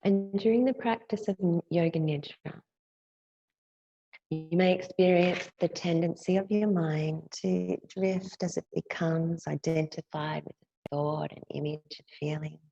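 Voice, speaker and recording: A woman with a low, gravelly voice leads a guided meditation. She speaks softly and soothingly as a teacher, lingering between phrases. The recording is close and dry, though slightly dull. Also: steady tone, no echo. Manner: gentle, unhurried, with long pauses between phrases. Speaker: yoga nidra meditation teacher.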